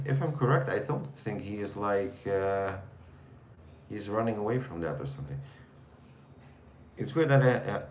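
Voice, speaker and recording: A man from the Netherlands with a Dutch accent, chatting casually with viewers on a livestream in a relaxed, rambling way while reading their comments. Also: on the low side, reverberant, slight background noise. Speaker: livestream host. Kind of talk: casual live chat answering viewers.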